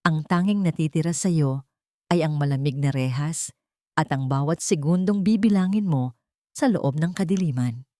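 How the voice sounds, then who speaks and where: A narrator plays a wronged Filipino wife, a woman grave and bitter in her triumph. She speaks solemnly in a low-leaning voice, as in a dry-recorded audio drama. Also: warm tone, no echo.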